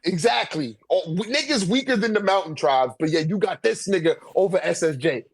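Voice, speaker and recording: A man's voice: high-pitched, expressive, fairly quick, breathy edge, no room sound. A man arguing casually and heatedly, with emphatic, repetitive, profane slang. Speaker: co-host debating anime character rankings.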